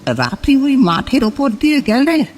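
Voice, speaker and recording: A man's voice: very high voice, big pitch swings, measured pace, pitched above the performer's own voice, heavily acted. A man voicing a kindly, anxious old village grandfather in a cartoon story, speaking emphatically. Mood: ominous warning. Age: older. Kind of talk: Bengali cartoon story acting.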